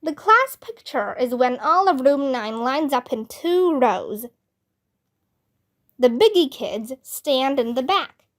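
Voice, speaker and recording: A woman reading a children's book aloud as narrator, with expressive, deliberate delivery. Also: sits high. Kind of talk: scripted storybook narration in a child's first-person voice.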